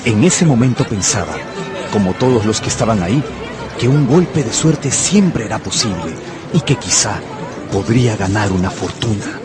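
A man's voice: lowish voice, lively intonation, normal speed, close to an everyday voice. An actor playing an anxious, tempted gambler who narrates his own story in a radio drama. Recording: loud music and crowd behind the voice.

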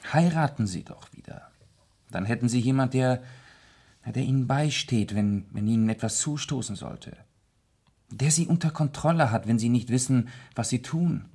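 An actor in a German radio play playing a composed aristocratic gentleman detective, polite and matter-of-fact. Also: medium pitch, breathy edge. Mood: calm, advising.

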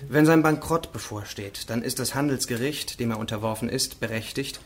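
An actor playing a self-assured, learned French court president in a radio play, explaining precisely and eagerly. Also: male voice, medium pitch, a little fast, slight background noise, natural voice. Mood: instructive, eager. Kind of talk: radio drama acting.

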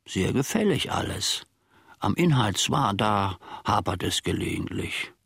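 Knarzig-rauer, pedantischer Diener. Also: male voice, fairly low pitch, big pitch swings, measured pace, gravelly, a bit bright, breathy edge, no room sound, studio quality, exaggerated voice. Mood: pompous, critical. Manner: ponderous, mock-learned, rambling musing. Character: pedantic, simple-minded male servant of a philosopher. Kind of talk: German radio play acting.

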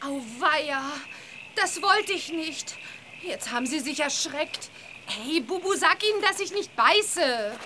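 A woman's voice: high-pitched, lively intonation, takes it slowly, sharp tone, a little hiss or hum, full character voice. A woman playing a cheeky, confident young witch girl in a children's audio drama. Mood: sheepish.

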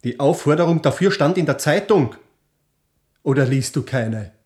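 A harsh interrogator snaps his questions , as a man voices him, raised and sharp. The voice sits back from the microphone in a radio play. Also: on the high side, lively intonation, moderate pace, voice pushed up, natural voice. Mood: accusing. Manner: accusing, barking questions. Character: harsh male wartime interrogator.

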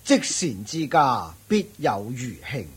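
A man playing a dignified, pious high priest in a radio drama, husky and formal. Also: big pitch swings, takes it slowly, heavily acted. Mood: solemn.

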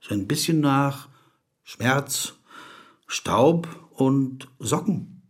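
A man's voice: medium pitch, lively intonation, extremely slow, slightly rough, exaggerated voice. A man acting a pedantic, brooding, awkward corporate lawyer in a German radio drama, fussy and dryly ironic in his digressions. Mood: dryly wistful. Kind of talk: German radio drama, dialogue and inner monologue.

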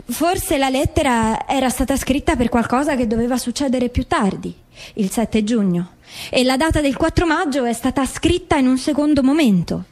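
A mother in a documentary drama, her voice fairly high. She is methodical and doubting, laying out each point in a measured, deliberate way. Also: lively intonation, darkish tone. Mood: firm, doubting. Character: grieving mother methodically doubting her son's farewell letter. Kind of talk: scripted theatre dialogue, documentary drama.

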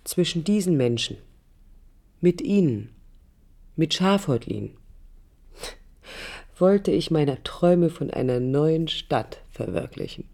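A woman's voice: low-pitched, animated, slow, creaky, pauses often, mellow, heavily acted. An actress playing a young, idealistic woman architect who recalls her life in an intimate, literary radio play monologue, reflective and critical. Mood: scornful, intense. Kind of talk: 1980s radio play inner-monologue narration.

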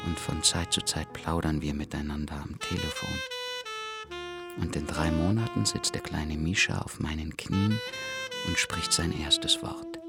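A man's voice: extremely low pitch, steady tone, a little slow, loud music behind the voice. An actor playing a brooding, weary husband and father in a radio drama, speaking in a subdued, introspective way. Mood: wry resignation. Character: Tolja, brooding weary husband and father, low-voiced narrator. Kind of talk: radio drama inner monologue and dialogue.

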